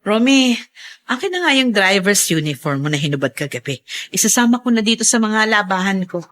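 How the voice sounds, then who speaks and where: A woman playing a caring middle-aged mother in a radio drama, gentle and reassuring in manner. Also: mid-range voice, animated, a little fast, close to an everyday voice. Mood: matter-of-fact.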